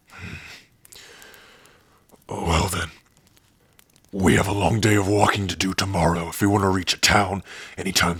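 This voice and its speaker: A man voicing a big, gruff but tender orc warrior in an ASMR roleplay, shy and devoted, speaking haltingly and intimately close to the mic. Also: no room sound, heavily acted. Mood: fond, gentle. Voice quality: husky, breathy low voice. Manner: slow, halting, softly intimate. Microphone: close dry microphone.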